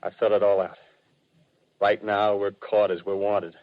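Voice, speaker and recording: An actor playing an embittered, scheming militia survivor with feverish plans, ranting in slangy speech in a 1930s radio drama. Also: male voice, fairly low pitch, flat delivery, a little slow. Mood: cynical, insistent. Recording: muffled vintage 1930s radio broadcast.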